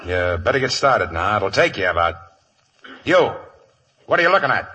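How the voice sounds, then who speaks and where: An actor playing a gruff, suspicious outlaw gang boss with a curt, commanding manner in a 1950s Western radio drama. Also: male voice, fairly low pitch, sing-song, normal speed, sharp tone, full character voice. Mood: irritated. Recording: vintage 1950s radio broadcast.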